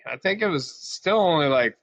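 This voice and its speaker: A man's voice: fairly high pitch, animated, normal speed, a bit bright, dry recording. A man in his thirties from Northern Virginia who co-hosts a podcast in a laid-back, joking way. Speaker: podcast co-host, veteran. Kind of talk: casual chat. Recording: slightly dull lo-fi mic.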